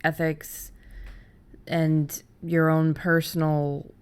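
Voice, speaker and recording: A woman's voice: sits low, flat delivery, very slow, clean tone. A woman hosting a podcast in a casual, conversational style. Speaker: podcast host.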